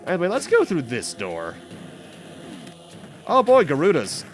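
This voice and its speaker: Animated man doing game commentary. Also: fairly high pitch, unhurried. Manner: rambling, explanatory, self-correcting. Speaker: host of a video game playthrough series. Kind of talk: casual let's play commentary. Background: game music.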